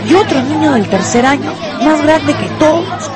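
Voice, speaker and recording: A woman's voice: high voice, expressive, normal speed. A woman with a Peruvian accent narrating a scripted radio story in a dramatic style. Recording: music and schoolyard crowd behind.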